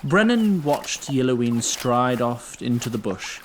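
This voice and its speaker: A man narrating a fantasy audio drama in a measured, literary storytelling manner with wry touches. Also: mid-range voice, very animated, slight background noise.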